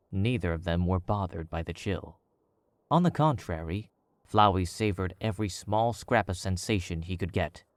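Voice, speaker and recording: A man with a very low voice narrates a story in a measured, even way. The sound is close and dry, with no room around it. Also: no echo. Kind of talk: scripted audiobook narration.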